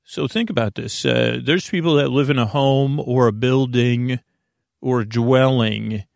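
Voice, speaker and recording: Creaky, meandering man hosting a sleep podcast. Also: medium pitch, no room sound, clean studio sound. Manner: meandering, halting, digressive, self-interrupting; soothing, lulling. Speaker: podcast host. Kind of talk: rambling bedtime sleep podcast monologue.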